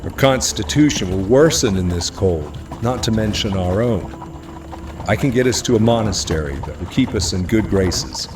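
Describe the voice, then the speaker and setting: A man's voice: fairly low pitch, expressive, moderate pace, recorded from a distance, close to an everyday voice. A man voicing a practical, concerned priest who speaks earnestly and formally in an audio story.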